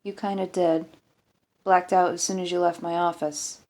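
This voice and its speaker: A woman's voice: fairly low pitch, level tone, normal speed, darkish tone, close to an everyday voice. A woman voicing a conscientious, anxious female doctor in an audiobook story, earnest and guarded. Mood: guilty, subdued.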